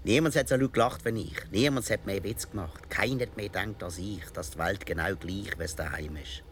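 A man's voice: low voice, a little fast, a bit bright, a little hiss or hum. An actor playing a wistful, wry older Swiss man in a radio play, speaking reflectively with a Swiss accent.

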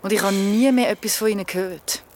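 A woman's voice: medium pitch, a little fast, a little mellow, lightly acted. A young actress with a Swiss German accent playing an uneasy young woman, recounting her story in casual, slangy speech for a radio drama. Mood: troubled recounting. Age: young adult. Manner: colloquial, youthful slang.

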